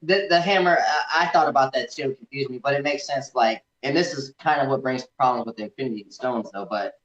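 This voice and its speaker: A man's voice: medium pitch, brisk, fairly bright tone. Man chatting casually in an echoey room. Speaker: podcast host. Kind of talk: casual film review chat. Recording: echoey room, slightly dull sound.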